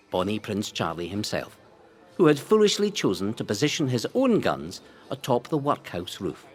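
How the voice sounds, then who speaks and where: A Scottish man reading a scripted history narration in a dramatic, deliberate storytelling way. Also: medium pitch. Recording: long hall-like reverb.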